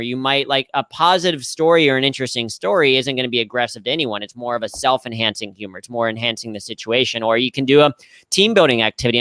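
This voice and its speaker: A man's voice: medium pitch, a bit bright, smooth, no echo. A young adult man with a slightly nasal voice, a guest explaining humor at work in an upbeat, self-deprecating way. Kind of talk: conversational interview answers.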